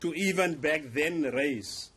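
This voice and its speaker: A man whose first language is Afrikaans, speaking English with an Afrikaans South African accent, making deliberate, emphatic points in a formal TV interview. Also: a little high, fairly bright tone, husky. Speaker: interviewee, police officer.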